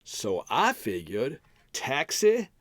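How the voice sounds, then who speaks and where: A man's voice: mid-range voice, wildly swooping pitch, unhurried, a bit bright, dry recording, studio quality. An American man playing a bumbling, cheerful packrat husband in old-time radio comedy style, folksy and hemming as he makes excuses. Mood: folksy, chatty.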